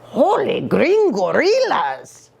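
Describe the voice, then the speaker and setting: Woman acting small kitty boy, excitable storybook hero, exclamatory, slow drawn-out words, animated pitch. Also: creaky, full character voice. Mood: amazed, excited. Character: excitable little kitty boy playing an astronaut Chihuahua.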